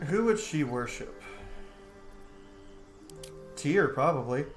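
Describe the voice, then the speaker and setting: A man's voice: a little high, expressive, slow, faint music behind. A man doing casual gameplay commentary as a video host, talking to himself informally and swearing now and then.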